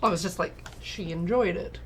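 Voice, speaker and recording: A woman's voice: lowish voice, moderate pace, a little hiss or hum. A woman co-hosting a livestream with casual banter. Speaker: streamer co-host.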